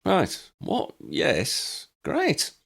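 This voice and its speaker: A man's voice: on the high side, huge pitch swings, drawn-out words, vocal fry, a bit bright, slightly rough, pitched above the performer's own voice, full character voice. A man voicing an easygoing, earnest young father who speaks plainly in an audiobook. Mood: flustered, cheeky. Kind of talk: audiobook character reading.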